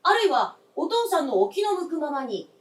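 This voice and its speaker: Insistent adult son voiced by a woman, high-pitched and swooping, polite and formal, for radio drama. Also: sing-song, normal speed. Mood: earnest, insistent. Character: earnest, insistent grown son pleading with father. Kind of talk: Japanese radio drama acting on stage. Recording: roomy, distant stage recording.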